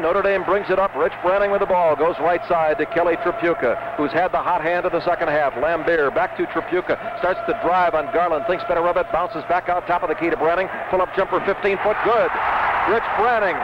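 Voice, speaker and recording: A man calling live basketball play-by-play for radio in clipped, urgent phrases. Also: high voice. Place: basketball arena. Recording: muffled, noisy old radio broadcast.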